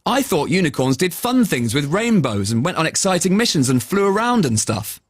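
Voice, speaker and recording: A man's voice: fairly high pitch, very animated, moderate pace, husky, pitched above the performer's own voice. A man voicing an adventurous, wide-eyed three-year-old boy in a children's bedtime story. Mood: doubtful. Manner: exclaiming, questioning, wide-eyed.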